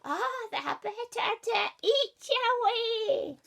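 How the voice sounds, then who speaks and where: A woman voicing a sly wolf pretending to be a granny, in a Scottish accent, slow and wheedling. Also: very high-pitched, animated, creaky, a bit bright, pitched above the performer's own voice, exaggerated voice. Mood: gloating.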